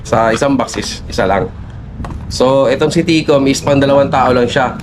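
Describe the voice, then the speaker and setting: A man's voice: mid-range voice, moderate pace, background noise. A Filipino man working as a shop salesperson, explaining products in a polite, conversational way and mixing in English terms.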